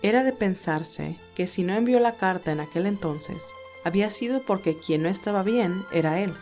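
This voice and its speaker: A woman reads a romantic story as its narrator, in scripted prose. Her pitch is in the middle range for a woman, and faint music plays behind the narration. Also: moderate pace, darkish tone.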